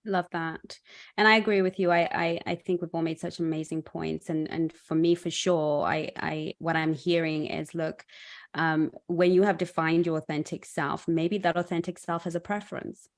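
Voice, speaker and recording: Woman, middle-aged, lowish voice, panel host, reflective, halting restarts. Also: steady tone. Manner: reflective, measured, with many restarts. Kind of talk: panel discussion on dating.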